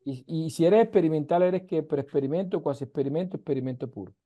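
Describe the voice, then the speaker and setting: A man's voice: on the high side, quick pace, narrow, tinny audio. A man from Colombia's Caribbean coast, with its accent, teaching an online university class in an emphatic way full of rhetorical questions, on a video call. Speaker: university lecturer.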